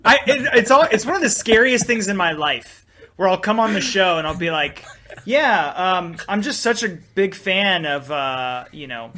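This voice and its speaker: A man from Georgia co-hosting a podcast, making wry, deadpan jokes in casual chat. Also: high-pitched, normal speed. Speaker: podcast co-host.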